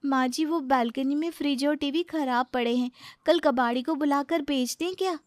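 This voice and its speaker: Young daughter-in-law, played by a woman, high-pitched, courteous yet exasperated, pleading; comic domestic story, dry recording. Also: normal speed, dry recording, natural voice. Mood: firm, complaining. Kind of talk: dramatized comic family story.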